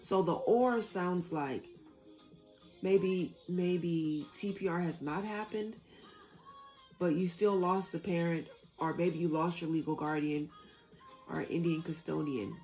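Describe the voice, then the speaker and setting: A woman with a low, gravelly voice hosts a casual chat. She reads information aloud and works through it as she talks. Faint music sits under her voice. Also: takes it slowly, warm tone, poor audio. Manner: thinks aloud, reads and explains info. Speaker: host. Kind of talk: casual discussion.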